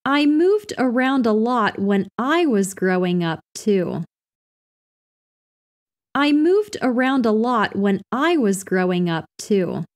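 Slow, lively woman reading practice phrases. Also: a little high. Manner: clear, carefully enunciated delivery. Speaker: English lesson reader.

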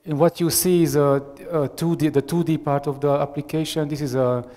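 A man in his thirties with a Romanian accent gives a live software demo on a headset mic, hesitant and full of fillers. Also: fairly high pitch, steady tone, a little fast. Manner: hesitant, many fillers and restarts. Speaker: software architect giving a demo. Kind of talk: live tech demo presentation. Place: large conference room with audience. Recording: long reverb of a large hall.

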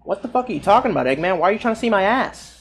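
A woman's voice: mid-range voice, lively intonation, rapid-fire, a crowd in the background, close to an everyday voice. A woman voicing a cocky young male cartoon game hero in an improvised fandub, casual, slangy and mocking. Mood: exasperated. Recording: game music behind.

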